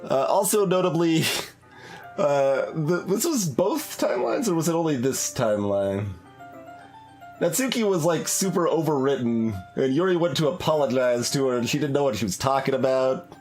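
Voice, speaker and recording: A streamer giving casual playthrough commentary, halting and musing aloud. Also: mid-range voice, lively intonation, darkish tone, soft background music. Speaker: video game streamer.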